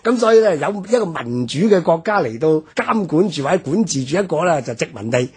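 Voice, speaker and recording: 聲音非常沙啞嘅香港男人，講歷史. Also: male voice, a little high, very animated, breathy edge. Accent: Hong Kong Cantonese. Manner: measured, explanatory, many fillers. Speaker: history commentator. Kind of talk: radio history discussion. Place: radio studio.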